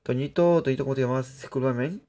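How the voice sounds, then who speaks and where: A young man from Peru, chatting excitably to viewers through live gameplay commentary. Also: medium pitch, fast. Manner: chatty, excitable, laughs often, addresses viewers. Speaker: streamer.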